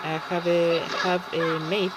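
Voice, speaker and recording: A man from Chile with a Chilean Spanish accent, a Spanish speaker talking casually in English over gameplay and often pausing to search for words. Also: fairly high pitch, flat delivery, loud music behind the voice, rough recording. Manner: halting, searching for words with many fillers. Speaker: gaming channel host. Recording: loud background noise.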